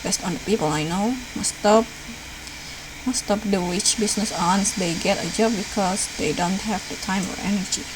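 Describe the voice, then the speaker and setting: Clear background noise sits around a woman's medium-pitched voice. She reads visual novel dialogue aloud in a let's play style, giving the lines a light touch of acting. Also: moderate pace. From Indonesia. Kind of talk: read-aloud game dialogue, acted lightly.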